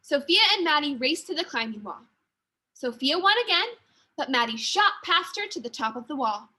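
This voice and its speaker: A woman teacher reading a children's storybook aloud to young pupils in an expressive manner. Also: high voice, normal speed. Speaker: second-grade teacher.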